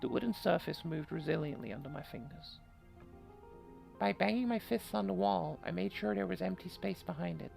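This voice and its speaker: A gamer voicing a creaky, wry horror-game heroine. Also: medium pitch, expressive, moderate pace, darkish tone, faint music behind. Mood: curious, suspicious. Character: Eve, female game protagonist, wry and self-reproaching narrator. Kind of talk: dramatic reading of visual novel narration.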